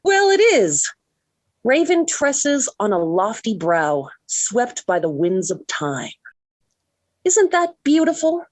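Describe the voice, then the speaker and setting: A woman's voice: on the high side, sing-song, slow, no echo, full character voice. An actress playing an earnest, emphatic American teenage girl in love, in 1940s radio sitcom style. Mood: gushing. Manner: gushing or indignant, emphatic.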